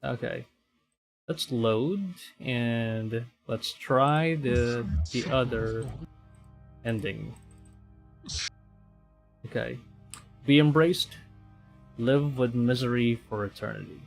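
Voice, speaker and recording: A male streamer plays a visual novel, reading and commenting aloud. He takes his time at an average male pitch, with faint music behind him. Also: slow pace, vocal fry, long pauses. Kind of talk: live game commentary and dramatic reading of visual novel text.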